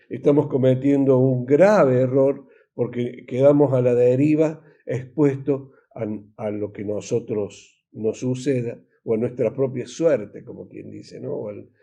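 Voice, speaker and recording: A man with an Argentine Spanish accent preaching an informal sermon as a pastor, halting and repeating himself, often posing rhetorical questions. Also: mid-range voice, warm tone.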